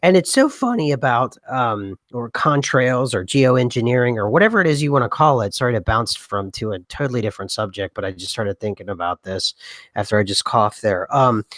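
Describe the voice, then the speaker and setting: Podcast co-host, male, mid pitch, rambling, slight cough, dry close-mic sound. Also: a bit bright, dry recording. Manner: rambling, many restarts and fillers. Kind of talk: casual conspiracy podcast chat.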